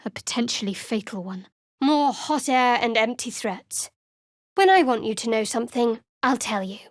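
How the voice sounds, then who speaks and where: An actress playing a guarded, sardonic young cleric of a dark goddess in a fantasy game, with a dry, wry delivery. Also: female voice, fairly high pitch, expressive, normal speed, no room sound, lightly acted.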